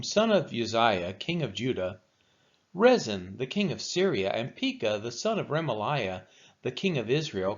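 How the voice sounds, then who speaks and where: A man reading scripture aloud in a solemn style, with measured, deliberate phrasing. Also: medium pitch. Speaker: scripture reader for a church read-along. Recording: dull, lo-fi sound.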